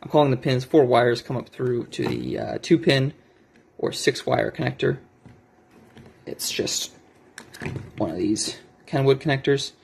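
A man's voice: medium pitch, very animated, unhurried, creaky, long pauses, a little hiss or hum. A man giving a casual, unscripted show-and-tell as a hobbyist, hesitant and correcting himself, recorded on a handheld cell phone indoors at a desk. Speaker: hobbyist video maker.

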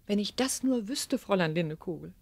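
An actress playing a gentle, anxious young mother in a radio play, earnest and hesitant. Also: mid-range voice, animated, brisk, gravelly, no room sound. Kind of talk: German radio play acting.

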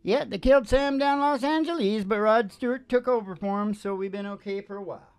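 An older man, a music podcast host, chatting casually in a folksy, animated way into a stand microphone. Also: high-pitched, a little fast, clear, resonant tone, no echo. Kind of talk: casual rambling chat. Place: small dim curtained stage or room. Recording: live performance with acoustic guitar. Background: acoustic guitar.

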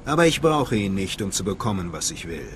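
An ambitious, animated Sith acolyte. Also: male voice, low-pitched, fairly quick, background noise. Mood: cool, assured. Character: cool, ambitious young male Sith acolyte. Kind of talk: video game cutscene acting.

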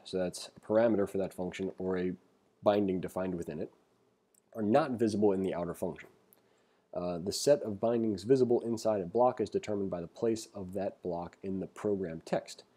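A man giving a casual tutorial as an instructor, reading aloud and commenting informally as he thinks out loud. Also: lowish voice, slightly rough. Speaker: programming instructor. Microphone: screen-recording voice-over.

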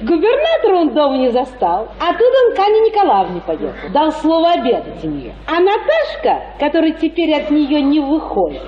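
Shrill-pitched gossipy colonel's wife. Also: female voice, huge pitch swings, brisk, few pauses, echoey room, highs cut off. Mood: scandalized. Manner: breathless gossiping, exclamatory, colloquial. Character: gossipy, indignant, vain provincial colonel's wife. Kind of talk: radio play acting.

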